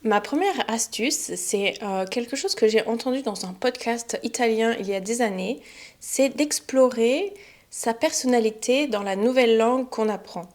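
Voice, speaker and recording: A young woman, a French teacher, giving a relaxed spoken lesson to learners, chatty and often pausing with fillers and restarts. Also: on the high side. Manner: chatty, many fillers and self-corrections.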